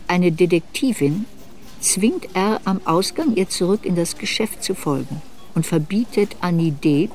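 A woman reading scripted literary narration for a radio play in a measured, reflective way. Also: fairly low pitch, darkish tone.

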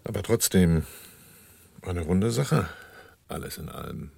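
A cool-headed killer in a radio crime play. His voice is very low and creaky, with a husky grain. He speaks in terse, dry, understated lines. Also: lively intonation, measured pace, darkish tone, no echo, exaggerated voice. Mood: laconic, musing. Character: cool, laconic male killer and former merchant. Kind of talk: German radio crime drama acting and narration.

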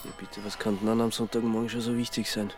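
A man's voice: on the low side, flat delivery, fast, music in the background, lightly acted. An actor playing a hapless, hungover young Austrian man in a radio drama, speaking plaintive colloquial dialect. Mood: dismayed.

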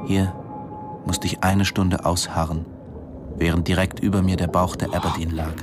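An actor playing a brooding male diving instructor in a German radio drama, narrating in a measured, introspective way. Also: deep voice, little pitch movement, noisy background, close to an everyday voice. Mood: brooding, tense.

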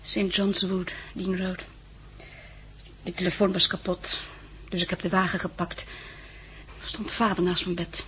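A woman's voice: fairly low pitch, flat delivery, a little slow, a bit bright. An actress playing a shaken, grateful young woman, well-bred and hesitant, in a 1960s radio drama. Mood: shaken, confiding. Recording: noisy 1960s radio recording.

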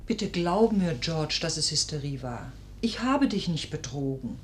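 An actress in a German radio play playing an anxious wife pleading to be believed. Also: female voice, low-pitched, lively intonation, unhurried, slight background noise.

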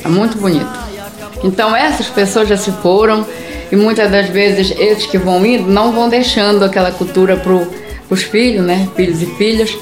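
A middle-aged woman with a northern Brazilian accent from Pará talks as a radio guest in a plain, unscripted way, circling back over her phrases. Her pitch is average for a woman. The sound is quite roomy, with music clearly behind her. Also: fairly even intonation, moderate pace. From Brazil, quilombola community near Santarém in Pará. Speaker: singer-songwriter, interview guest. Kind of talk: spontaneous personal interview talk. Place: audio studio, for a radio programme.

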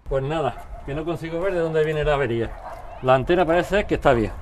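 A man's voice: a little high, a little fast, over loud music, natural voice. A man with a Spanish accent acting a flirtatious, insistent residents' association president in an audio drama, casual and teasing. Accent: Spain. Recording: clear ambient noise behind voice.

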